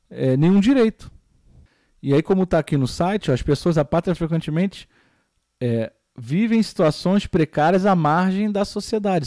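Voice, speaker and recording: A man's voice: fairly high pitch, mellow, no room sound, studio quality. A man with a Brazilian Portuguese accent, teaching a recorded geography lesson and explaining step by step, with many fillers and tag questions.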